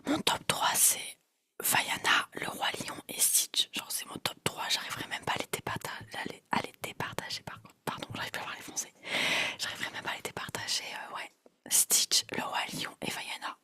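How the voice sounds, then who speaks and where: A woman in her twenties whispering close to the mic in a chatty ASMR video, rambling and repeating trigger words softly. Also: moderate pace, dry recording. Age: 20s. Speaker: ASMR creator.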